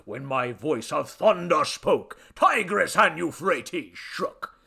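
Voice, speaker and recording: A man voicing a pompous, irritable ancient Babylonian god in a story, with grandiose, formal declamation and a rusty, booming voice. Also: lively intonation, takes it slowly, gravelly, pushed and bright, slightly rough, full character voice. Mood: grandiose, boastful. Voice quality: rusty booming foghorn bass.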